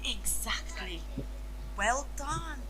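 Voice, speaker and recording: A woman co-leading a children's lesson, asking lively questions to draw the children in. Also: on the high side, extremely slow, noisy background. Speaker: children's workshop co-leader.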